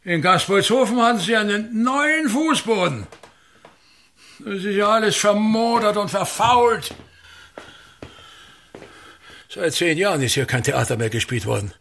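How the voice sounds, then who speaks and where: An actor playing a pompous aging state actor in a radio play, declaiming and grumbling bitterly, swinging between mutters and outbursts. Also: male voice, high-pitched, sing-song, unhurried, vocal fry, fairly bright tone, a little hiss or hum, heavily acted. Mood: fretful. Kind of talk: theatrical radio-play monologue.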